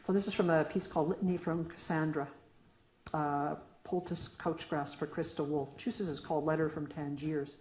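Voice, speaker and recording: A woman's voice: low-pitched, fairly even intonation, normal speed, darkish tone. A middle-aged woman poet reading her own work aloud to an audience, moving between a question-and-answer poem and casual asides between pieces. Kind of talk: poetry reading. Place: lecture room at a reading. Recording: echoey room.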